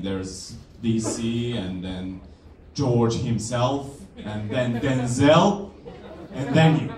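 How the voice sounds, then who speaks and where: A man from Croatia with a Croatian accent and Croatian as his first language, doing a wry, self-deprecating stand-up set with halting restarts. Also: mid-range voice, a little mellow, recorded from a distance. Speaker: stand-up comedian. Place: comedy club stage. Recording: echoey club taped from afar with crowd.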